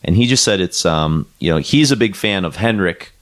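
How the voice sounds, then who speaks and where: A very low-pitched man talks through a music podcast in a loose, rambling way. He leans on "like" and "you know," stops and restarts, then settles into a thought. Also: lively intonation, normal speed. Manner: rambling, many fillers and restarts; reads quotes aloud. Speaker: podcast co-host. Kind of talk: casual chat about music.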